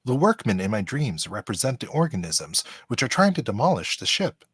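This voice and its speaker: A man playing a polite, earnest android officer in a fan radio play, speaking with formal, deliberate diction. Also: medium pitch, big pitch swings, fairly bright tone, slightly rough, no echo. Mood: earnest, explaining.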